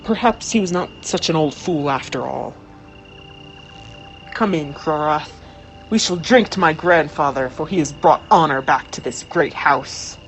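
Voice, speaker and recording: A woman's voice: low voice, unhurried, soft background music, poor audio. A woman playing a proud, blunt Klingon noblewoman in an audio drama, with an imperious, formal manner. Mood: proud, gruff.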